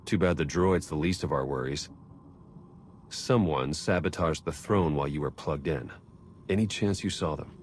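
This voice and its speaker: Deep-voiced sardonic male spy. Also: normal speed, a little mellow, a little hiss or hum. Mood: wry, concerned. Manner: sardonic, informal. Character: wry, skeptical male spy and adviser. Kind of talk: cinematic video game voice acting.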